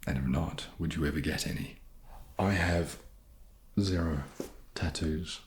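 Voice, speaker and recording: A man chatting softly in an ASMR question-and-answer style, with a low gravelly voice and a hesitant, dry, self-deprecating manner. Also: unhurried, slight background noise. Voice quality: low, gravelly, soft-spoken. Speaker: ASMR video creator and host. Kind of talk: soft-spoken ASMR question-and-answer chat.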